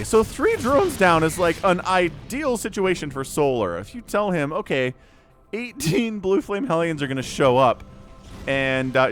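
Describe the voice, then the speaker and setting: A man casting a strategy video game match solo, excitable and jokey, asking himself questions and reacting as plays unfold. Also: on the high side. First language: English. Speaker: esports caster. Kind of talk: solo play-by-play commentary.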